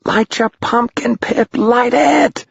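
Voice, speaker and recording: A man voicing an eager, anxious young boy from a gang in a storybook, urgent and excitable. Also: a little high, slow pace, vocal fry, slightly rough, dry recording, highs cut off, pitched above the performer's own voice, heavily acted. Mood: alarmed shouting.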